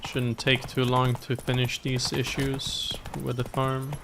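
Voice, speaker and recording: A man's voice: medium pitch, monotone, measured pace, noisy background. A man streaming a video game with casual, off-the-cuff commentary. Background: game music and horse sounds.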